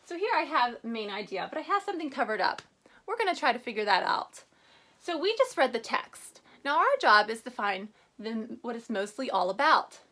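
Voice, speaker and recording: Animated high-voiced woman teaching young children. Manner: instructional, addresses children with questions and prompts. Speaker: elementary school teacher. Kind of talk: children's read-aloud reading lesson.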